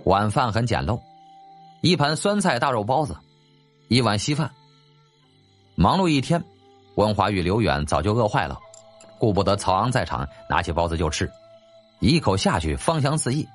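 A man reading a novel as scripted audiobook narration in an animated, dramatic way. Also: on the low side, normal speed, long pauses, faint music behind.

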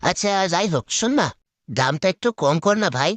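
Hot-headed, miserly husband for a comedy cartoon; argumentative, wildly animated intonation. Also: male voice, a little high, a little fast, dry recording. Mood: haggling. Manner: indignant, argumentative, exclaiming. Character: hot-headed, stingy village husband who haggles and snaps at everyone. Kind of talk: comic cartoon acting in Bengali.

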